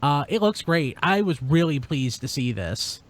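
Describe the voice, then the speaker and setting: A man's voice: fairly high pitch, very animated, measured pace, no room sound. A man hosting a casual panel chat about toy news in an upbeat, enthusiastic manner. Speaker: podcast host.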